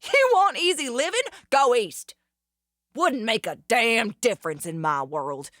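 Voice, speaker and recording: A woman's voice: fairly high pitch, sing-song, a little slow, fairly bright tone, no echo, clean studio sound. A woman playing a ruthless, power-hungry gunslinger in a western audio drama, taunting and domineering with theatrical insults. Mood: scornful, angry.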